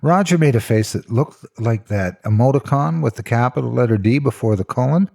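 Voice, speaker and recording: A man's voice: medium pitch, expressive, brisk, creaky, mellow. A man narrating a scripted story in a theatrical, mock-serious storytelling style. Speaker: narrator of horror stories.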